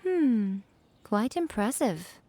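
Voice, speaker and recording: A woman voicing a sly, elegant fox priestess in a video game, measured, teasing and superior. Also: on the high side, very animated, dark tone, slight background noise. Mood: amused, composed. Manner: measured, teasing, mock-sympathetic.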